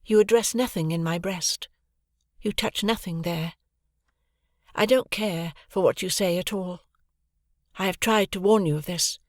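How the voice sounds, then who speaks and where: A man voicing a proud, cold young woman who speaks calmly and without feeling in a storybook reading. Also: no room sound, clean studio sound. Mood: cool, detached. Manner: calm, measured, high and light.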